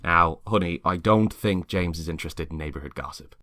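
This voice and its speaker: A man's voice: low-pitched, brisk, a bit bright, no room sound, clean studio sound. Man playing an easygoing suburban husband. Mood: affable. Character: easygoing, affectionate suburban husband in late thirties. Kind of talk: dramatic script reading.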